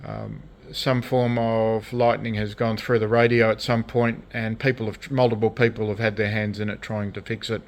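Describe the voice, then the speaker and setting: An Australian man giving a casual hands-on show-and-tell as a hobbyist restorer, speaking in halting, piecemeal phrases. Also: lowish voice, flat delivery. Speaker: radio restorer presenting own collection. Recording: clear background noise, hobby video.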